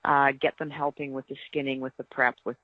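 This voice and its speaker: A woman's voice: a bass voice, flat delivery, a little fast, no room sound, narrow, tinny audio. A woman speaking earnestly and emphatically from experience, giving practical advice in a casual panel chat. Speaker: podcast guest, experienced hunter.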